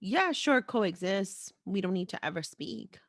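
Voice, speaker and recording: A woman hosts a podcast in an easy conversational style. She is frank and teasing, with a playful streak, and her mid-pitched voice sits in a dry recording with very little room sound. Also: big pitch swings, measured pace, no echo. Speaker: podcast host. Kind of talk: casual chat.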